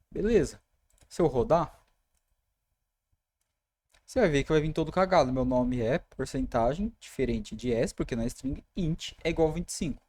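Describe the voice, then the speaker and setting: Young brazilian guy walking thru code, mellow voice, dry close mic. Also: medium pitch, dry recording. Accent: Brazilian Portuguese. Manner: casual, talks through code while typing. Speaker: programming tutor. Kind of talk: screen-recorded coding tutorial.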